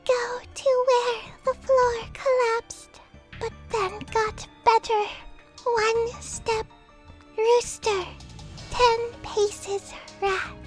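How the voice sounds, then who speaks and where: A woman's voice: very high-pitched, level tone, extremely slow, soft background music, heavily acted. A woman voicing an eerie little girl spirit chanting cryptically in a horror game dub. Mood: eerie, cryptic. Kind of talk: video game dub acting.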